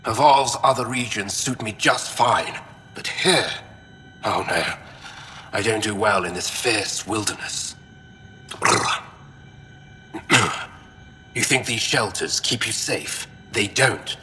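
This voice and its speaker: A testy alien scholar in a video game, stranded and fed up. His voice is fairly low yet bright and forceful, and he talks in a curt, sardonic, fussy way. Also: takes it slowly. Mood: peevish complaining. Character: fussy, grumpy male alien historian, stranded and irritable. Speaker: historian. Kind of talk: video game dialogue acting.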